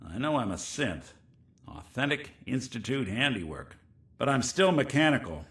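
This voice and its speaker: A man's voice: sits low, reverberant. A middle-aged American man playing a weary, kindhearted robot detective in a video game, with a wry, old-time hardboiled way of talking. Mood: wry, reminiscing. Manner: wry, old-time hardboiled slang, halting when confiding.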